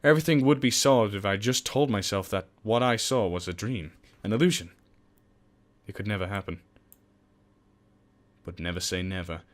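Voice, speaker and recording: A man's voice: on the low side, moderate pace, pauses often. A man giving casual gameplay commentary and reading game text aloud, with sweary, jokey asides. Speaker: let's player. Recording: game music behind the voice. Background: game music.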